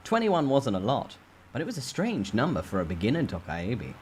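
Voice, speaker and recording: A man's voice: medium pitch, huge pitch swings, brisk, a little mellow, slight background noise. A man reading a first-person story aloud as a dramatic storytime narration.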